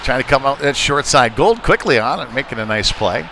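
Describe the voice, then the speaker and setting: Man calling hockey over clear rink noise. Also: mid-range voice, lively intonation, a little fast, pushed and bright. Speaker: play-by-play commentator. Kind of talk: live ice hockey play-by-play commentary. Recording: noisy live arena sound. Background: rink noise and ambience.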